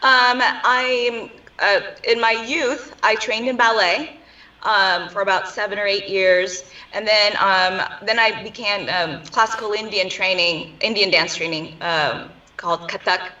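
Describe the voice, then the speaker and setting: A woman from Afghanistan who grew up in the United States answers interview questions over a video call, in a thoughtful and hesitant way. Her voice is bright, but it sounds distant and dull through the call audio. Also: mid-range voice, steady tone, a little slow. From Afghanistan, raised in New York and California. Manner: thoughtful, hesitant, many ums. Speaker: dancer and interview guest.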